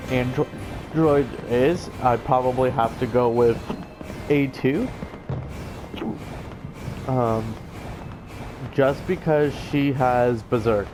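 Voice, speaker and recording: A man giving casual game commentary, explaining things in a rambling, hesitant way. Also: mid-range voice. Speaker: let's player. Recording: game music behind the voice. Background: video game music and sounds.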